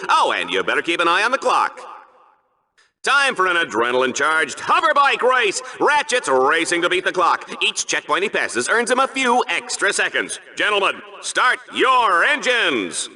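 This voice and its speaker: An actor playing a bombastic, hammy, gleefully bloodthirsty sports commentator in a video game. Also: male voice, high-pitched, sharp tone, heavily acted. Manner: bombastic showman delivery. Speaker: arena show commentator.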